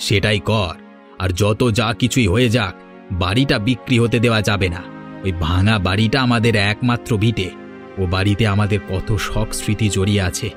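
An actor playing a remorseful but determined prosperous younger brother in a cartoon, solemn and earnest. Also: male voice, fairly low pitch, steady tone, moderate pace, faint music behind, close to an everyday voice. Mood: resolute. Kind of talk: cartoon moral story voice acting.

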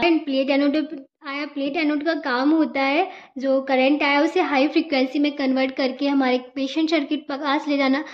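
A young woman giving an informal step-by-step lecture, repeating herself and answering her own questions. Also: high-pitched. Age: young adult. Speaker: physiotherapy student giving a lesson. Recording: echoey room, dull lo-fi sound.

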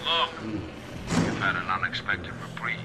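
A sadistic film director in a video game, coaxing and menacing by turns. He draws his words out slowly, with theatrical swings in pitch. Clear noise sits over the recording. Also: lively intonation. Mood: coaxing, controlling. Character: sadistic male snuff-film director ordering a captive around.

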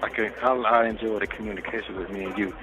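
A man's voice: lowish voice, steady tone, fast, music in the background. A man chatting intimately as an inmate on a phone call, flirtatious, profane and given to repeating himself. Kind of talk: intimate casual phone chat. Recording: noisy, muffled recorded jail phone call.

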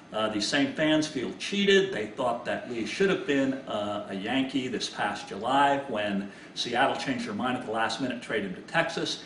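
A man's voice: medium pitch, fairly bright tone. Middle-aged man, roomy distant sports commentary. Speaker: sports analyst. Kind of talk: informal sports commentary to camera. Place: small indoor home office. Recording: roomy, distant mic, background hiss.

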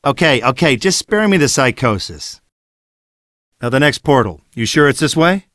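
A gruff middle-aged action hero for a video game. He is cocky and curt, with a lively range of pitch and a dry, close sound. His delivery is sardonic and mocking. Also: normal speed, dry recording. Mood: gruff, bossy. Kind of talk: video game cutscene acting.

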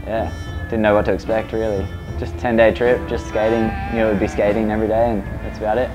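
A man's voice: fairly low pitch, level tone, fast talker, music in the background. A man in his late twenties with an Australian accent, talking casually in an interview. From Ballina, Australia. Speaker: skateboarder being interviewed.

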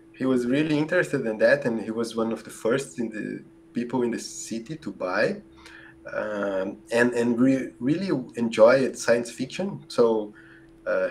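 A man's voice: mid-range voice, darkish tone. Brazilian man with a faint hum behind. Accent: Brazilian Portuguese. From southern Brazil. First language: Portuguese. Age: 40s. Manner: hesitant, many fillers and restarts. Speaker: guest. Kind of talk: casual interview answers. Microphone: large studio mic on a boom arm.

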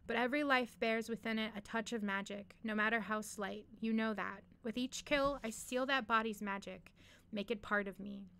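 A woman's voice: a little high, fairly even intonation, moderate pace, creaky, a bit bright, smooth. Woman acting a savage, boastful undead sorcerer. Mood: menacing. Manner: menacing, grandiose, emphatic.

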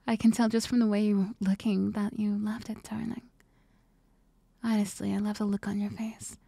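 A young woman playing an affectionate, doting girlfriend in an ASMR roleplay, whispering slowly and tenderly close to the microphone. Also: mid-range voice, little pitch movement, dark tone, no room sound. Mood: adoring, intimate. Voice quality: breathy, whispery. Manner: slow, tender, intimate. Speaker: ASMR performer.

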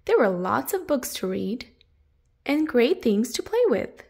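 A woman's voice: a little high, very animated, unhurried, vocal fry, darkish tone, husky. A woman reading a children's picture book aloud, expressively, drawing out the descriptive words for emphasis. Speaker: children's story narrator.

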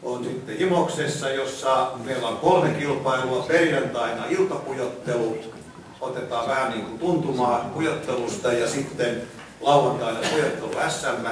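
A man presents informally in a very echoey room. He is picked up from a distance, with clear noise around him. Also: mid-range voice. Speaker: presenter at a club meeting. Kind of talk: informal presentation of a competition calendar. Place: indoor meeting room, camera in the audience. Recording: echoey meeting room, distant mic, noisy.

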